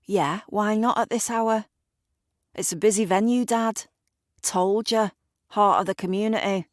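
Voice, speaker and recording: A woman playing an evasive daughter putting on brisk, defensive cheer in an audio drama phone call. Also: mid-range voice, steady tone, dry recording, clean studio sound, pitched above the performer's own voice, natural voice. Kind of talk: audio drama character dialogue.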